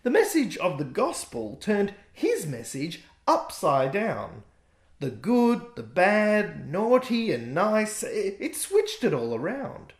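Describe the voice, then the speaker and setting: Animated man reading a rhyming storybook. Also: high-pitched, slow pace. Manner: gentle, expressive read-aloud. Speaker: author reading his book. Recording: echoey room.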